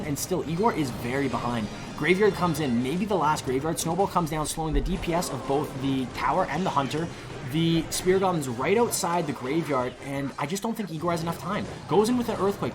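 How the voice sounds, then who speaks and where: A man calls a live esports match, his voice a touch worn from hours on the mic. He talks at an ordinary middle pitch, rambling between plays and then climbing to loud, excited peaks. Game music plays clearly underneath him. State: losing his voice. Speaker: esports caster.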